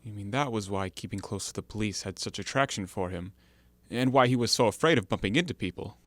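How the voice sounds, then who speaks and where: An actor playing an uneasy, defensive ex-convict in an audio play, speaking formally as if forever excusing himself. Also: male voice, fairly low pitch, quick pace, fairly bright tone, no room sound. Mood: probing. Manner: formal, elaborately self-excusing. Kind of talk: stage-play dialogue in an audio drama.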